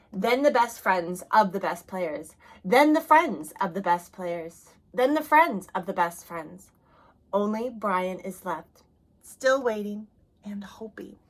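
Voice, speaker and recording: Woman storyteller reading a picture book aloud, expressive, mid-pitched. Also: rough recording. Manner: expressive, dropping soft for sad moments. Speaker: reader.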